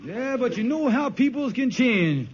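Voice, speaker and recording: A man's voice: extremely high pitch, sing-song, measured pace, full character voice. An actor playing a scheming, broke, blustering married man who stalls and wheedles in ungrammatical talk on an old radio sitcom. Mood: smooth, conniving. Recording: muffled, noisy old radio recording.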